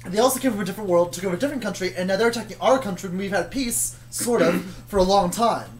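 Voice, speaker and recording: A woman playing a stubborn, matter-of-fact soldier in improvised comedy, arguing in a rambling, deadpan way. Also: medium pitch, fast talker, natural voice. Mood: insistent.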